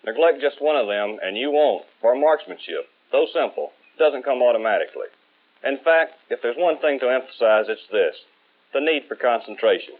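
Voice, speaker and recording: A man's voice: medium pitch, animated, normal speed. An American man playing a firm, methodical Marine rifle instructor, lecturing with emphatic authority in a 1960s training film. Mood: firm, instructive. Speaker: military marksmanship instructor. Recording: tinny old 1960s film soundtrack.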